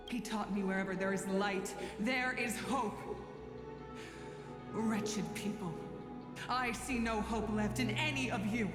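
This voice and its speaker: Female actor as defiant game heroine, proud, scornful, declamatory, reverberant, loud music behind. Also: fairly high pitch, lightly acted. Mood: bitter, grieving. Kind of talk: dramatic video game voice acting. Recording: echoey hall reverb under loud music.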